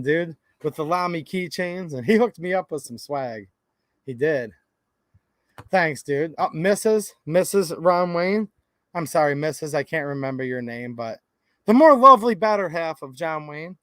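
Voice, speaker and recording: High-voiced, fervent livestream host. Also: male voice, a bit bright, no room sound, clean studio sound. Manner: passionate and folksy, talks straight to viewers. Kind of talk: casual livestream chat, reading viewer comments. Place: home recording studio.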